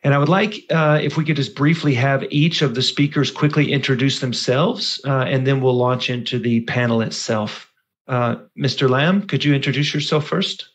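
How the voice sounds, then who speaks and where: Measured male panel moderator. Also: mid-range voice, steady tone, muffled recording. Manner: measured, with filler uhs.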